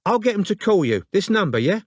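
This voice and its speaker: A man's voice: sits high, very animated, fast talker, no echo, clean studio sound, pitched above the performer's own voice. A man voicing a suspicious, belligerent woman with a London accent who answers a phone with short, blunt questions. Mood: curt.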